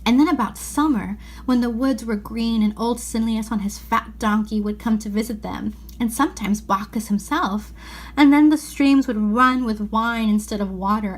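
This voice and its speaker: A woman narrates a children's storybook. Her voice is on the high side, and she reads the scripted prose expressively. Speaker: storybook reader.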